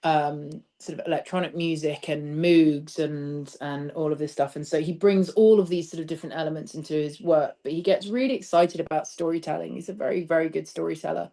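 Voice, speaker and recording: A woman's voice: deep voice, fairly even intonation, a little fast, creaky, hardly any pauses, mellow. A woman speaking as a theatre director in a casual interview, thoughtful and discursive, letting phrases trail as she explains. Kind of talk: casual interview chat about stage work.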